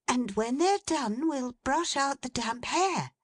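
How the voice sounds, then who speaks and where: A woman voicing Meg, a caring young woman, in a high, gentle, coaxing voice. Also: expressive, slow, no room sound, exaggerated voice. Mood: tender.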